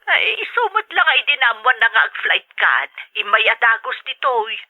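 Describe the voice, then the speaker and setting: A woman's voice: a little high, very animated, moderate pace. A woman playing a caring, anxious older mother of a grown son, affectionate and solicitous, heard through a telephone filter. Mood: caring, concerned. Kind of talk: dramatic radio-style acting. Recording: narrow tinny telephone line.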